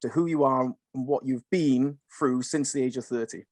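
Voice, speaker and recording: A man's voice: medium pitch, steady tone, moderate pace, a little mellow, dry recording. A man hosting a podcast in casual chat, chatty and eager, often stumbling over his questions. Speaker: podcast host.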